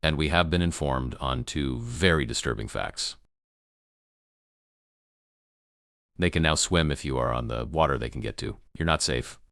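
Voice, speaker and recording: A man playing a grave emergency news broadcaster in an amateur apocalypse broadcast, gravelly, solemn and halting in his delivery. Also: rumbling low, a bit bright, no room sound, studio quality, full character voice. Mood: somber. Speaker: news anchor.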